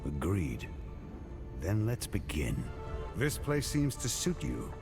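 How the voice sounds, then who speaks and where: A grim fantasy game knight with a low, deep voice. He is terse and stoic, with a lively rise and fall in his solemn delivery. Music plays behind him. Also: slow pace. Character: grim, driven warrior knight, brother of a tyrant. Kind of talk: cinematic fantasy game acting.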